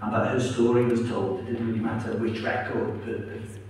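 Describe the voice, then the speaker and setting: A man's voice: mid-range voice, fairly even intonation, moderate pace. A Welsh man being interviewed on stage at a live event, chatty and telling stories with asides. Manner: chatty, warm, storytelling with asides. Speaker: interviewed author and radio presenter. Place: town hall at a live event. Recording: echoey hall from far off, faint noise.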